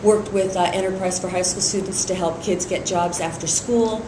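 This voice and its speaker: A woman's voice: on the low side, fairly even intonation, moderate pace, echoey room, noisy background. A middle-aged woman from Iran, raised in San Francisco, speaking steadily as a district attorney candidate in an interview, with frequent fillers. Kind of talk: endorsement interview.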